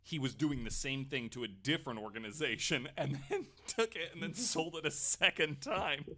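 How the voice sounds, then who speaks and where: A man's voice: fairly high pitch, lively intonation, normal speed, pushed and bright, slight background noise. A man hosting a podcast, talking in casual, joking banter. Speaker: podcast host.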